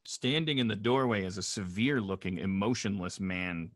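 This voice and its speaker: A man's voice: fairly low pitch, normal speed, no echo, clean studio sound. A man narrating stage directions at a comedy table read over a video call, with a wry, joking manner.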